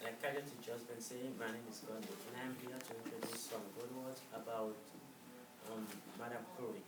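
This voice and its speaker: A man giving an informal presentation as a correspondent, halting and repetitive, with emphatic non-native phrasing. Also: mid-range voice, level tone. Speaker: correspondent in amateur show. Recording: noisy echoey room, distant mic.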